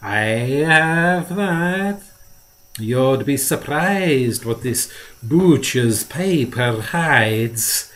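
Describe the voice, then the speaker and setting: A man's voice: medium pitch, lively intonation, very slow, a bit bright, voice pushed up. A man voicing a fat, greasy little male dealer who talks in an oily, teasing sing-song. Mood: wheedling.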